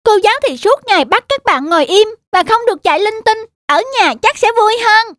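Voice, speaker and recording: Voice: very high-pitched, expressive, brisk, a bit bright, dry recording, studio quality. An actor voicing a stubborn, eager little preschool boy in a cartoon, whining and pleading in a childish way. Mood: grumbling. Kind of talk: animated cartoon dialogue. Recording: music behind the voice.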